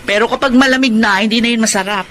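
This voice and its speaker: A woman's voice: fairly high pitch, fast talker, a bit bright, lightly acted. A Filipino woman playing a frank, protective middle-aged confidante with a sarcastic edge in a Tagalog radio drama. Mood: scolding, exasperated. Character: blunt, nosy, protective older woman confidante.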